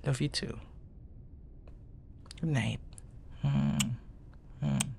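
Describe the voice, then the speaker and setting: A man with a Filipino accent playing a gentle, protective young boyfriend in an intimate ASMR roleplay, soothing and murmuring tenderly. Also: medium pitch, sing-song, extremely slow, creaky, a little hiss or hum, full character voice. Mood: tender, sleepy comfort.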